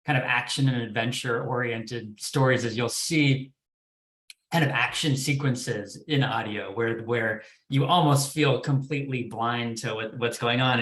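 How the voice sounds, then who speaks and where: A man's voice: medium pitch, fairly bright tone. A man giving explanations as a development executive on a panel, hedging and repeating words as he thinks. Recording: echoey, roomy sound.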